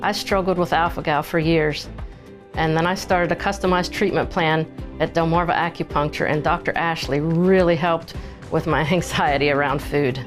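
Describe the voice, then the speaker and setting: A woman's voice: sits low, steady tone, normal speed, soft background music. A woman giving a sincere personal testimonial in a commercial. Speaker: testimonial speaker in an ad.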